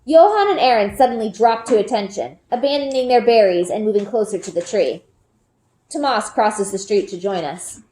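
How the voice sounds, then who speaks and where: A woman reading a children's fantasy novel aloud in an expressive, careful storytelling style. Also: medium pitch, moderate pace. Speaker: storybook reader. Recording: echoey, reverberant room.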